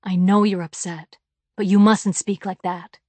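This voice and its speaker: A woman voicing a tired, gentle middle-aged father who speaks softly and hesitantly in a storybook reading. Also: mid-range voice, little pitch movement, unhurried, dry recording, natural voice. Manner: soft, soothing, hesitant.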